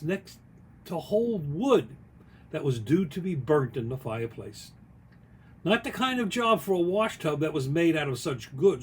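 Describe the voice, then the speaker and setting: A man reads a picture book aloud at an unhurried pace, in a fairly high voice that swings widely in pitch. The delivery is folksy and expressive, with little spoken asides on the story. There is some noise in the recording. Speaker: author reading their own picture book aloud.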